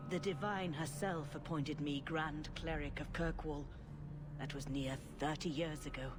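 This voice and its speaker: An actress playing an elderly female grand cleric in a game, speaking in a measured, formal, gently authoritative way. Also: deep voice, fairly even intonation. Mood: calm, measured. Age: older. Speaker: senior cleric. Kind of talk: dramatic game dialogue. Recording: clearly noisy ambience behind voice.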